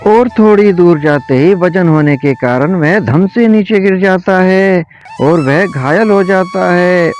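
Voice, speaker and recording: A man narrating a scripted animal story for children as a storyteller. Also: sits high. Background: soft background music.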